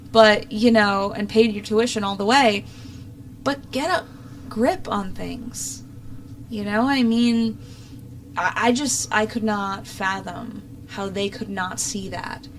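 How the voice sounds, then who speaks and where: A young woman hosts an opinionated talk show in a casual, conversational way. Her voice sits at an average pitch, and she sounds exasperated and sarcastic as she comments. Some background noise runs under the recording. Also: low-quality recording. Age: young adult.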